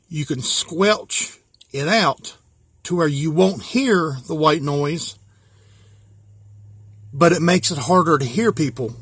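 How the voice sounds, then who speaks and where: An American man with a slightly high voice talks through his hobby gear in a casual, folksy manner. He explains things plainly, one step at a time. The sound is dry, with little room echo. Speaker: hobbyist presenter. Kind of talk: casual hands-on gear walkthrough.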